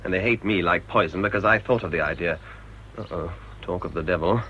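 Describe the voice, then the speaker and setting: An actor playing a bitter English village priest in a radio drama, with a dry, grumbling way of talking. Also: male voice, low voice, a little fast, pushed and bright. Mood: dry, explaining. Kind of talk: British radio drama acting. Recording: dull, noisy old radio drama recording.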